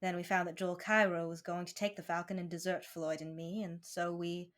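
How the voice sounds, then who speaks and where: An actress playing a dishonest, weary femme fatale in a 1940s-style radio play, coy and hesitant. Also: female voice, on the low side, flat delivery, a little fast, lightly acted. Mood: coy, guarded.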